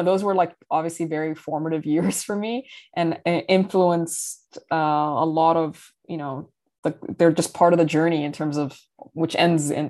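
Low-voiced woman founder, fluent and chatty. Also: darkish tone. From Sudan. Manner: fluent but full of fillers and restarts, laughs often. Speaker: guest, company founder and CEO. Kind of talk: casual interview talk.

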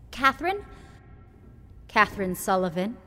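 A woman's voice: medium pitch, sing-song, a little slow, sharp tone. A woman playing a meek, dutiful office clerk in an audio drama, hesitant and apologetic. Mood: dutiful, nervous. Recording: echoing hall, faint noise.

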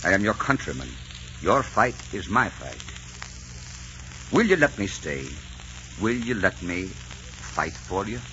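Casting: bold soldier earl, ardent and blunt, forceful tone, old radio drama with dull sound. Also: male voice, low-pitched, sing-song, takes it slowly, pauses often, heavily acted. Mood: earnest, courtly. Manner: ardent, declamatory, defiant. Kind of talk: 1940s radio acting. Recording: hissy, dull 1940s radio recording.

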